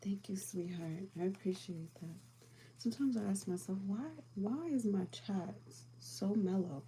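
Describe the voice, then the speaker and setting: A calm, soothing woman chatting casually with viewers as she does her makeup, often dropping to a whisper. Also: medium pitch, a little slow, a little hiss or hum, low-quality recording. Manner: calm, soothing, soft-spoken; often drops to a whisper while applying makeup. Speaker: beauty livestream host.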